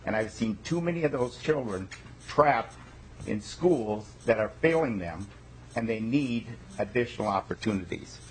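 A man's voice: on the low side, animated, moderate pace, a little hiss or hum, low-quality recording. A male state legislator introducing himself at a committee meeting, folksy and emphatic with joking asides.

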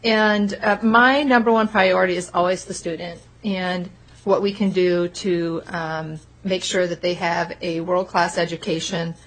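A female state legislator introducing herself at a committee meeting, speaking loudly and projecting. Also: fairly low pitch, steady tone, measured pace, rough recording. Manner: loud, projecting voice.

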